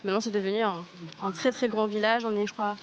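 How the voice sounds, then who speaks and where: A woman's voice: medium pitch, flat delivery, fast, lo-fi sound, slight background noise. A woman from Guiana, answering an interview earnestly and repeating words for emphasis. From French Guiana. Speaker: village activist interviewee. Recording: music behind the voice. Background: background music.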